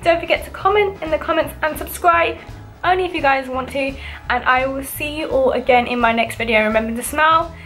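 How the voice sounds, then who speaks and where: A woman's voice: sits high, brisk, fairly bright tone, soft background music. A young woman gushing enthusiastically as she unboxes and chats to her viewers. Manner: gushing, enthusiastic, repeats "really, really". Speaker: vlogger. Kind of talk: casual unboxing chat.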